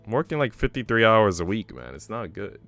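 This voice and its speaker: A man's voice: lowish voice, sing-song, fairly quick, a bit bright, faint music behind. A man chatting casually on a stream in a conversational, slangy way. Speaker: streamer, works at a school. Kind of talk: casual stream chat between visual novel readings.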